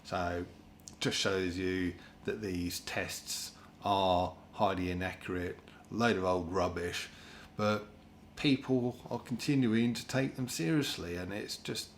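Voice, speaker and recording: A man's voice: sits low, fairly even intonation, slow, slight background noise. A British man giving a casual, rambling spoken commentary in a sardonic manner. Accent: British English. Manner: rambling, sardonic, often mimics other people in put-on voices. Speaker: host of an opinion monologue.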